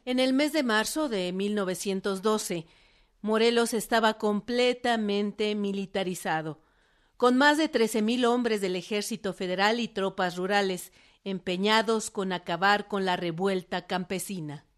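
A woman's voice: mid-range voice, measured pace. A woman with a Mexican accent, reading scripted historical narration for radio. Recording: clear music under the narration. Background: music.

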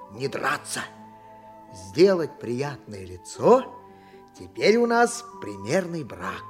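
An actor playing a boastful, simple donkey driver who likes a drink, muttering and exclaiming theatrically in a radio play. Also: male voice, a little high, exaggerated voice. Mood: forced sweetness. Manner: muttering to himself, wheedling, exclaiming.

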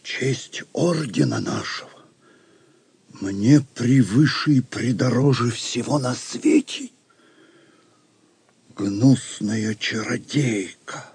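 An actor playing a stern, fanatical grand master of a knightly order in an audio drama, solemn and pompous, with a husky, gravelly voice. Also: male voice, medium pitch, a little mellow, no echo, exaggerated voice. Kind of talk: dramatic audio play acting.

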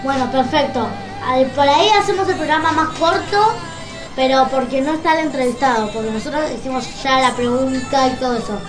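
A woman's voice: sits high, a little fast. A woman with an Argentine accent hosting an informal live radio show. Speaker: radio host. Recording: echoey room, music behind. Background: music.

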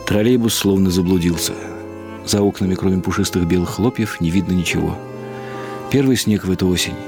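Mature male narrator for literary radio drama: very low voice, reflective, expressive delivery over music. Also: a little fast.

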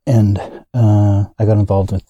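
Deep, dark-voiced man rambling. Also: level tone, a little slow, husky, muffled recording. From United States. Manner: rambling, many fillers and restarts, laughs often. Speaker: guest. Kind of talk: casual interview conversation.

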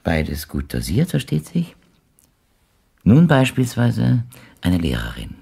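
Blind gentleman caller, radio play, deep husky voice, formal, over-polite, keenly observant, insinuating. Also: huge pitch swings, takes it slowly, full character voice. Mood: musing, insinuating. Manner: formal, flowery, elaborately polite. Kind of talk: German radio play acting.

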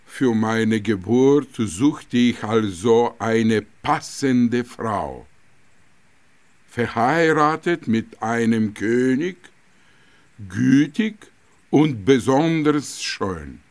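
Casting: serene sage of compassion, mid pitch, extremely slow, solemn, expressive intonation, radio drama. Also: male voice. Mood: serene solemnity. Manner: solemn, measured, long pauses. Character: serene male holy master of compassion, solemnly self-revealing.

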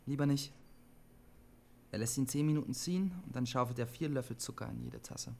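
An actor in his twenties playing a withdrawn, defensive son in a naturalistic radio drama, with a halting, subdued delivery. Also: mid-range voice, creaky, dark tone, a little hiss or hum, close to an everyday voice. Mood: subdued, guarded. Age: 20s.

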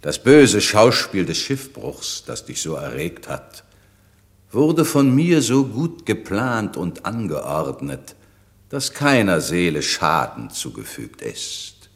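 An actor playing a grave, commanding magician and father in a radio play, with a solemn, measured, theatrical manner. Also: deep voice, very animated, husky, exaggerated voice. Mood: solemn, authoritative. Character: grave, commanding magician father soothing his young daughter. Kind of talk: classic German radio play acting. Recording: long reverberant hall, noisy background.

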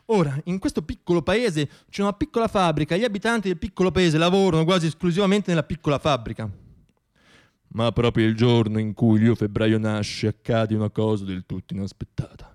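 Male monologist, thirties, high voice, theatrical comic storytelling with dramatic shifts. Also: a little fast. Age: 30s. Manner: dramatic delivery, shifting between hushed asides and declamation. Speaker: stage monologist.

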